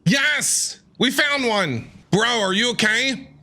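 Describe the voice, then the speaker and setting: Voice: mid-range voice, animated, slow, sharp tone. A streamer giving casual, teasing, slangy commentary while reacting to a game. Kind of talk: casual playful gaming commentary.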